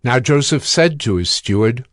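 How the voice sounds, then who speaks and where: A man narrating a dramatized scripture reading in a steady, measured way. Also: mid-range voice, no echo, clean studio sound, soft background music.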